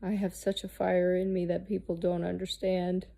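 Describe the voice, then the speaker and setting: A woman in her thirties, talking candidly and haltingly about herself, restarting often and swearing freely. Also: fairly low pitch, completely flat delivery, gravelly, mellow. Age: 30s. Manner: halting, searching, with many restarts and fillers. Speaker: host. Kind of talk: candid personal monologue.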